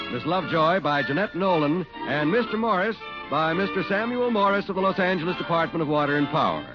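Polished 1940s radio announcer. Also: male voice, sits high. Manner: smooth, polished announcer delivery. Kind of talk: 1940s radio sponsor ad and credits. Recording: dull 1940s radio broadcast with music.

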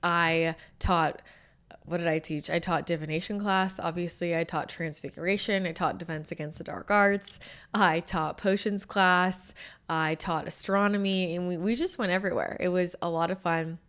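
A woman in her thirties hosting her own show, hoarse and a little scratchy after losing her voice, talking in an upbeat, chatty way. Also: fairly low pitch, steady tone, normal speed, a bit bright. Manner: chatty and upbeat, with laughs and fillers. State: hoarse, recovering from lost voice. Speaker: podcast host. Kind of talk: casual solo chat.

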